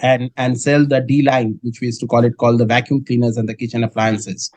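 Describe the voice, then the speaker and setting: A middle-aged man from Kolkata with an Indian English accent, answering interview questions in a measured way with many filler sounds. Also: medium pitch, flat delivery, darkish tone, no echo. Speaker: guest, dairy business head.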